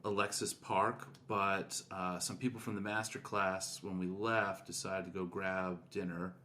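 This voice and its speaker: Middle aged guy with a deep low voice chatting on a podcast, faint noise behind him. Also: level tone, a little slow, pushed and bright. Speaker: podcast co-host. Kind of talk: casual podcast chat.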